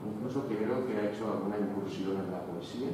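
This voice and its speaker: A man introduces a guest from a panel table in a relaxed manner, filling his pauses with hesitations. His voice sits a little low. The recording is heavy with the echo of a large hall and a clear hiss, and the table microphone sounds distant. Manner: easygoing, with many fillers. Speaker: host introducing the speaker. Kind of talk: formal introduction.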